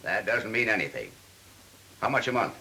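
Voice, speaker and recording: Blunt haggling car buyer, mid-century film style, low gravelly voice with hugely animated swings. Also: male voice, moderate pace, a little hiss or hum, full character voice. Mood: skeptical. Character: skeptical, blunt male car buyer haggling over price.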